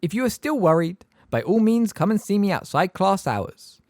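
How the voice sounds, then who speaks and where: Man voicing a high, prim witch teacher, sickly sweet, cold, slow and deliberate, dry close sound. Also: sing-song, a little mellow, no echo. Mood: sugary stern. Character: sugary, cold, pompous middle-aged witch teacher.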